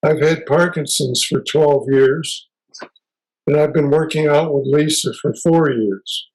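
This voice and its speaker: A man's voice: on the high side, measured pace, a little mellow, slight background noise, poor audio. A man in his seventies introducing himself in a plain, matter-of-fact way. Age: 70s. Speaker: group participant. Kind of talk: self-introduction.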